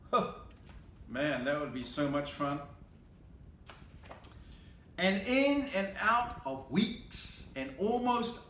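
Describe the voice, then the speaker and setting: A man's voice: fairly high pitch, fairly bright tone, reverberant, slight background noise. A man reading a picture book aloud expressively, with playful, casual asides and exclamations as he goes. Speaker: storyteller.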